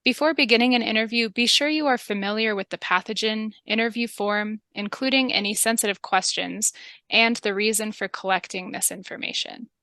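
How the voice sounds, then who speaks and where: A woman narrating a training video and playing a calm, reassuring public health interviewer. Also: a little high, a little fast, no room sound, clean studio sound.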